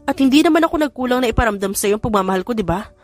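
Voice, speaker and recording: A man's voice: extremely high pitch, animated, racing, faint music behind. A woman voicing a desperate, lovesick young man who pleads with his ex in a storytelling audiobook. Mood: pleading, hurt.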